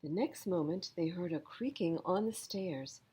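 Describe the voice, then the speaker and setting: Slow, expressive woman storyteller, mellow voice. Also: fairly low pitch, husky. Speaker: children's storyteller. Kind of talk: expressive picture-book reading for children.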